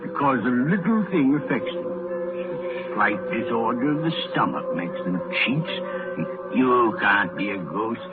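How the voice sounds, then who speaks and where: An actor playing a crotchety old miser in a 1930s radio drama, blustering and sputtering, scornful but scared. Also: male voice, medium pitch, animated, a little slow, natural voice. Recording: tinny old 1930s radio recording, music.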